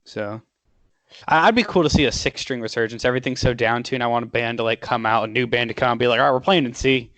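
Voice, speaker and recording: A man's voice: mid-range voice, quick pace, fairly bright tone. Enthusiastic man hosting an interview. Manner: enthusiastic, lots of "like" and "dude". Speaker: interview host. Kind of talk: casual interview chat.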